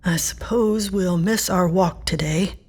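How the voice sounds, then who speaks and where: An actress playing a dying woman in an audio drama, tender and stubborn, speaking softly and haltingly in a husky, breathy voice. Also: fairly low pitch. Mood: gently teasing.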